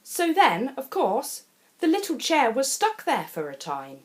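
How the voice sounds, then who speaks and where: A woman narrates a children's picture book. Her pitch moves widely and her delivery is theatrical. She speaks straight to the young listener with chatty asides. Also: huge pitch swings, measured pace. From England. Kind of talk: expressive children's storybook narration.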